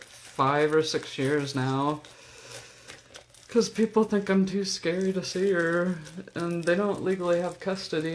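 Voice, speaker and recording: An older woman with a deep voice, venting to her camera in a blunt, profane, rambling vlog. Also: a little hiss or hum. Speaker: vlogger. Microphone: camera mic.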